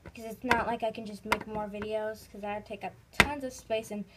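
A woman's voice: medium pitch, steady tone, a little fast, heavy background noise. A woman narrating a casual vlog in a rambling, informal way that tends to trail off, recorded indoors on a handheld phone. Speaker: host of a toy video channel. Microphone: phone held near the speaker.

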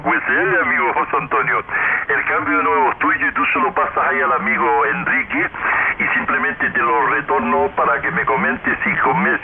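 A man comes through a narrow, noisy radio channel. His voice is gravelly, and he chats effusively with fellow operators, tacking little questions onto his phrases. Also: a little high. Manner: effusive, frequent tag questions. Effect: two-way radio. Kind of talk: CB radio contact chat.

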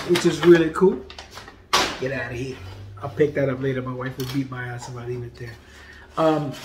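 Casting note: playful man in his forties hosting a home food vlog, slightly high voice, roomy sound. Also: a little hiss or hum. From Puerto Rican heritage. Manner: animated, playful, drops to quiet murmurs while tasting. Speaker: food vlogger and comedian host. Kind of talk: casual food review vlog. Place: home dining room indoors. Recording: echoey room.

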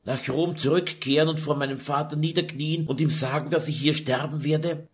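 A man's voice: a little high, steady tone, normal speed, voice pushed up, close to an everyday voice. A man voicing an eighteen-year-old grieving duchess who pleads and laments through sobs. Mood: pleading. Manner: sobbing, pleading, breathy lament.

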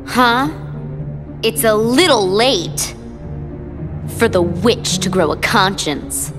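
Sneering game villainess, young, theatrical, slow deliberate delivery, music behind. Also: female voice, mid-range voice, lively intonation. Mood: irritated menace. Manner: mocking, haughty, theatrical. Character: sneering, cruel, short-tempered young villainess. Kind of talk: video game cutscene acting.

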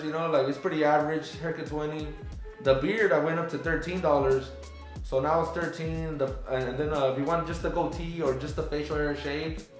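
A man in his thirties, a barber, giving casual advice in a relaxed, rambling way, full of fillers and restarts. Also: a little high. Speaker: barber and channel host. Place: small barbershop, indoors. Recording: echoey shop room with faint music.

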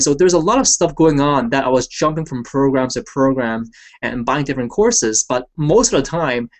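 Guy chatting on a headset video call, easygoing, says "you know" a lot. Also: medium pitch. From Hong Kong. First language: Cantonese. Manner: relaxed, chatty, lots of fillers like "you know" and "right?". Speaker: hangout host. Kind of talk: casual personal story on a video call. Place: small room indoors.

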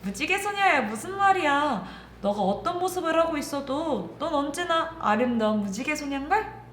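A woman voicing a kind, comforting cloud in a children's storybook tale, calling out gently. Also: sits high, expressive, moderate pace, slight background noise, voice pushed up. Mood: encouraging, calling. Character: kind, comforting, self-sacrificing cloud spirit. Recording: roomy echo, music underneath.

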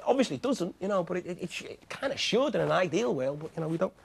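A young man with a Liverpool accent answering audience questions in a TV studio, animated and jokey, laughing often and cutting in. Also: sits high, quick pace, gravelly, breathy edge. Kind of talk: lively studio discussion. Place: television studio with seated audience.

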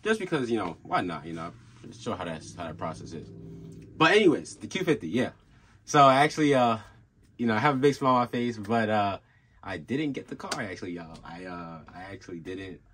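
Laid-back young man, low husky voice. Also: big pitch swings, brisk, a bit bright. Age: young adult. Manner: laid-back, rambling, many fillers and restarts. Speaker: car channel host. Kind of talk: casual vlog update. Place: small home room with desk and gaming setup.

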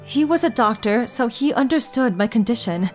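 A guarded, melancholy young anime woman played by an actress. She speaks gently and hesitantly in a fairly high voice, with faint music underneath. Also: expressive, normal speed, lightly acted. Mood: melancholy confiding. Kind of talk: anime manga dub acting and narration.

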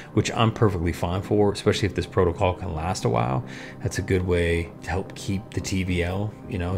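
Man in his thirties, low gravelly voice, chatty and frank host, faint music under him. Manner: chatty, frank, fillers like "you know" and "right?". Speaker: crypto investing YouTuber. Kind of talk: casual screen-share review. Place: small room with purple and blue lights.

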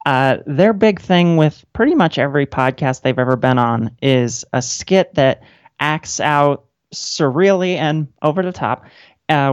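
A woman's voice: a bass voice, dry recording, lo-fi sound. A woman podcaster and writer chatting casually as an interview guest.

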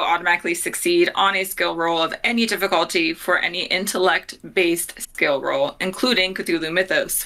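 A woman's voice: on the low side, sharp tone, rough recording. A woman in her thirties, a guest player chatting casually on a video call from a home office. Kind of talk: casual chat.

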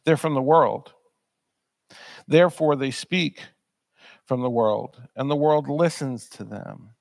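A man's voice: medium pitch, slow, gravelly, a little mellow, no echo. A man in his thirties preaching a sermon in a church, earnest and emphatic, often repeating words as he presses a point. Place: church sanctuary, speaking at a pulpit.

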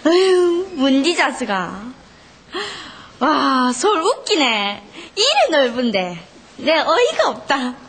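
Casting: plucky, outspoken country schoolgirl, Gyeongsang accent, high and very lively delivery. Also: slow, heavily acted. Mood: delighted, teasing. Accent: Gyeongsang Korean. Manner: brash, outspoken. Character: plucky, blunt country schoolgirl new in Seoul. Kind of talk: television children's drama acting.

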